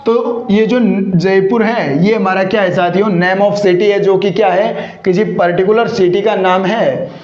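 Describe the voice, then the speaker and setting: A man's voice: high-pitched, fairly even intonation, unhurried, few pauses, darkish tone. A man teaching a grammar lesson, who asks himself rhetorical questions and answers them. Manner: addresses viewers as friends, repetitive drilling. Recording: echoey room, dull lo-fi sound.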